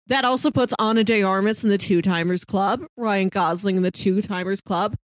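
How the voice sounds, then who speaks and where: A woman's voice: mid-range voice, fairly even intonation, moderate pace, no room sound, studio quality. A woman co-hosting a podcast, chatting casually and articulately about films with playful asides. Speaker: podcast co-host reviewing films. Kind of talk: casual film review chat.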